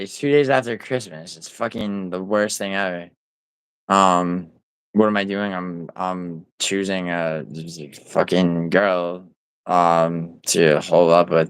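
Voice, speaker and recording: A deep-voiced man chats loosely to his livestream viewers. His low tone stays smooth and clean. He rambles, swears often and trips over his own restarts, all on a dry, close sound. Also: dry recording. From New York City. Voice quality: nasal. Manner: rambling, profane, full of fillers and restarts. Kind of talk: casual livestream chat, reading viewer comments.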